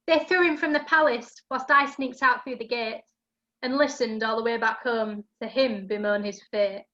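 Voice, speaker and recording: Bright, high-voiced woman as cheeky pantomime storyteller. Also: fairly even intonation, moderate pace, dull audio. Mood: storytelling. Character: cheeky young brother narrating pantomime tale in rhyme. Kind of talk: rhyming pantomime narration.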